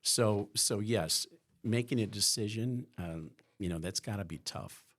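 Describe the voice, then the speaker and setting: A man's voice: fairly low pitch, a little slow, fairly bright tone. A man from the Chicago area, a podcast guest from a school's staff, talking in a rambling way with many restarts. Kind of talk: conversational podcast interview.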